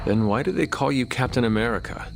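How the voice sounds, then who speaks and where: A man playing a wary ancient warrior in a video game, speaking formally and in a measured, stilted way. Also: medium pitch, lively intonation, creaky, soft background music. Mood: wary, probing. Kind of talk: cinematic video game dialogue.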